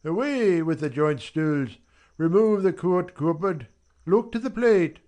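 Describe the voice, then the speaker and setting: An actor playing a bossy, harried servant in theatrical Shakespearean style, calling out orders emphatically. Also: male voice, sits high, lively intonation, unhurried, warm tone.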